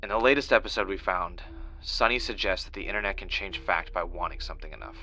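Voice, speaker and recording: An actor playing a defensive, easily riled podcaster and former reality show winner, insistent and argumentative in a natural conversational audio drama style. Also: male voice, low voice, fast, sharp tone, soft background music. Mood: earnest, defensive.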